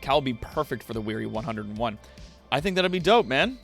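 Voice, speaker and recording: A man livestreaming in casual chat with viewers, thinking aloud in loose, halting phrases. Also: medium pitch, big pitch swings, creaky, a bit bright, soft background music.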